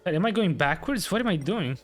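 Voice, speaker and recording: A man with a slightly high voice gives casual gameplay commentary. He talks to himself in loose, questioning phrases, with faint game music behind him. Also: expressive, fairly quick. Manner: muttering to self, questioning. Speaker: game streamer.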